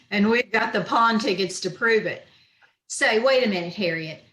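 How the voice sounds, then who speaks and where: A woman's voice: medium pitch, a little slow, fairly bright tone, reverberant, close to an everyday voice, poor audio. A woman playing a dry-witted, sarcastic schoolteacher in old-time radio comedy style, recorded over a video call in a room. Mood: dry, sardonic. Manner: wry, sarcastic comebacks. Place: echoey room, remote video-call performance.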